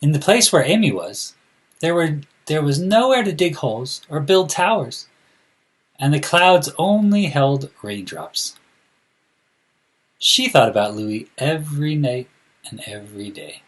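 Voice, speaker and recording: A man reading aloud to children in an expressive, deliberate way, addressing them directly like a school counselor. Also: a little high, breathy edge, poor audio.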